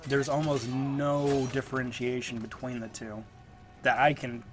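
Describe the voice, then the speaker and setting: A congested man rambles through a casual game review, narrating over gameplay. His voice sits in an ordinary middle range. Loud game music and sound play under his talking. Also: mid-range voice, level tone, unhurried. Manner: rambling, lots of fillers. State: stuffed up, congested. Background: game footage audio and music.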